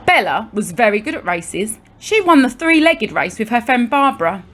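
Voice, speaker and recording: Higher-pitched woman reading a children's story. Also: big pitch swings, moderate pace, a bit bright. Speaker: primary school teacher reading a story.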